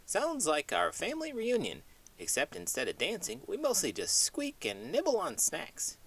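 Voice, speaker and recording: Man doing a squeaky cheeky mouse. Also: normal speed, husky, exaggerated voice. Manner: high squeaky excited voice. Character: cheese-loving mouse, small, cheeky and jokey. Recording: music playing behind.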